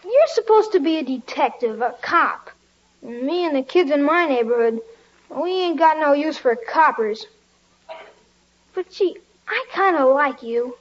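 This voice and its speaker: A scared young street boy with a very high voice. He stammers and pleads in tough kid slang. It is an old 1940s radio show with muffled sound. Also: animated, a little slow. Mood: warming, candid. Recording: dull 1940s radio recording.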